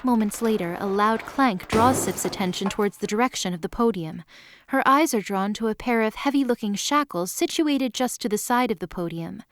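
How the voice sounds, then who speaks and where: A woman's voice: mid-range voice, lively intonation, moderate pace, no room sound. A woman reading scripted story narration as the narrator of an audio drama.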